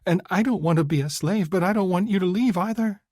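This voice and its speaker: A man voicing a tearful young woman pleading her love, high and breathy as she weeps. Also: fairly quick, darkish tone, no room sound, studio quality. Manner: high, breathy, weeping delivery.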